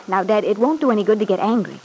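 An edgy young heiress of old radio melodrama, with a mid-pitched voice. She sounds nervous and pleading, hesitating like someone with a secret. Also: expressive, fast talker, mellow, slightly rough, rough recording. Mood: urgent, cautioning. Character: anxious, edgy wealthy daughter with something to hide. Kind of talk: classic radio soap opera acting.

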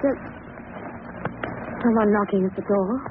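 An actress playing an anxious, pleading wife in a 1940s radio thriller, urgent and melodramatic in manner. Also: female voice, mid-range voice, a little slow, lightly acted. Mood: fearful pleading. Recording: very noisy, narrow-band old radio recording.

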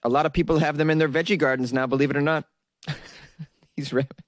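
A man's voice: fairly high pitch, steady tone, brisk, dry recording. Sarcastic man riffing on news, dry close mic. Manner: sarcastic, rambling, jokey asides to viewers. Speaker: solo news commentary host. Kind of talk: casual commentary reading news articles.

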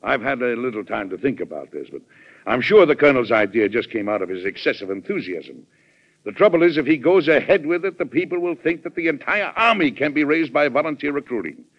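A wartime president in a vintage radio play, earnest and courteous with a weary authority. His mid-pitched voice comes through a dull, muffled old broadcast recording. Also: big pitch swings, fairly quick. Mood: resolute. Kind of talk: old-time radio drama acting.

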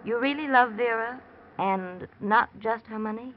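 A woman's voice: on the high side, a little slow, lightly acted. An actress playing a teasing, worldly young American socialite in a classic radio drama, coy and probing in her theatrical delivery. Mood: probing, catty. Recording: muffled, noisy old radio recording.

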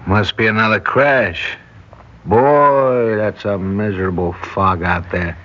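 A man's voice: on the low side, takes it slowly. An actor playing a good-natured, lovelorn bus station man in a 1960s radio drama, with casual, slangy American speech. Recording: dull, noisy vintage radio recording.

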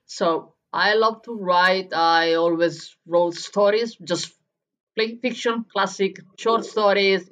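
A woman from Italy with an Italian accent, answering interview questions as a barista and coffee coach, often pausing and restarting as she looks for words. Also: medium pitch, steady tone, fairly bright tone. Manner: searching for words in English, frequent restarts.

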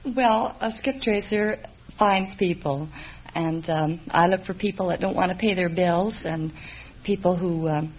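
A woman's voice: fairly low pitch, measured pace. A woman in her twenties from Florida, answering a host's questions as a quiz show contestant. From Florida, United States. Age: 20s. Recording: muffled old radio, faint audience and noise. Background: studio audience.

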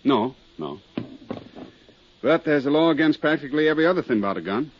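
A man's voice: on the high side, lively intonation, a little fast, a little hiss or hum, lightly acted. A man playing a businesslike police desk sergeant in a 1950s radio drama, asking terse questions. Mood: businesslike, dry. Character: matter-of-fact male police desk sergeant. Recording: muffled 1950s radio recording.

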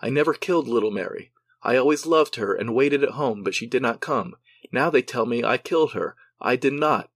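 A man's voice: mid-range voice, lively intonation, fairly quick, a bit bright, dry recording. A man voicing a frightened young man who desperately pleads his innocence. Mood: pleading.

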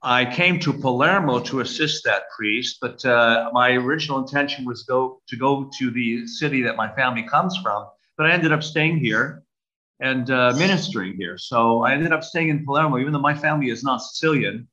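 Older guy with a brooklyn accent, kinda bright edgy voice, lots of uhs, muffled lo-fi sound. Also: mid-range voice. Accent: New York (Brooklyn). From United States. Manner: measured, hesitant with many "uh" fillers. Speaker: archbishop guest. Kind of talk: interview conversation. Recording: dull lo-fi audio.

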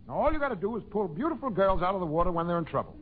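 An actor playing an officious, pompous beach superintendent in a 1940s radio comedy, emphatic and self-important. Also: male voice, sits high, sing-song, racing. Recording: tinny, noisy old radio, faint audience.

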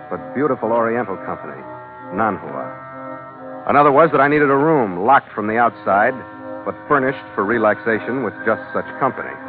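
An actor playing a tough, cynical, wisecracking sea captain with blunt, slangy delivery in an old-time radio drama. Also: male voice, mid-range voice, lightly acted. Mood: wry narration. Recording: tinny old radio broadcast with music behind.

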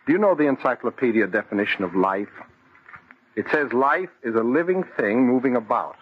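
An actor playing a dreamy, obsessive young bridegroom in an old radio horror drama, speaking with rapt, insistent intensity. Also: male voice, mid-range voice, expressive, a little fast, lightly acted. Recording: dull, noisy vintage radio recording.

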